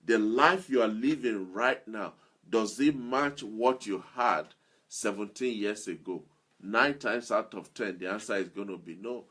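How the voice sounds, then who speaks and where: Male self-improvement speaker: slow, deliberate, a bit husky, earnest and repetitive. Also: fairly low pitch, a bit bright. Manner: earnest, repeats key phrases for emphasis. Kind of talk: motivational talk to listeners.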